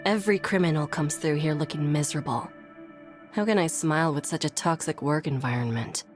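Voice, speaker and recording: A woman's voice: low-pitched, brisk, over music, lightly acted. A woman voicing a weary, sardonic female prison clerk in a video game, dry and grumbling. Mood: wry.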